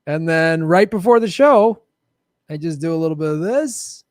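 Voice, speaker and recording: A man hosts a live show in a casual, joking manner, poking fun at himself with playful bravado. His voice sits a little high for a man. The sound is dry and close, with no room echo. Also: lively intonation, normal speed. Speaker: host of a live political show. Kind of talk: casual livestream chat. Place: home studio with bright lights.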